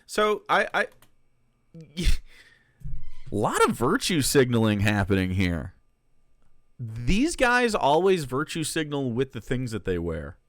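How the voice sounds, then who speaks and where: A man's voice: mid-range voice, huge pitch swings, takes it slowly, long pauses, fairly bright tone, no echo, clean studio sound. A man who streams political commentary, reacting to clips in a casual, sarcastic and profane way, laughing between remarks.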